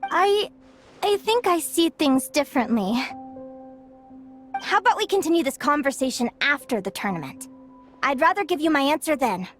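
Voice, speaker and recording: A gentle, high-voiced younger sister. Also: female voice, expressive, moderate pace, pauses often, faint music behind. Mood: thoughtful. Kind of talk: video game voice acting.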